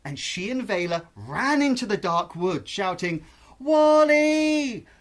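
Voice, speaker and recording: A storyteller narrating a children's bedtime tale in an expressive, suspenseful, deliberate way. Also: mid-range voice.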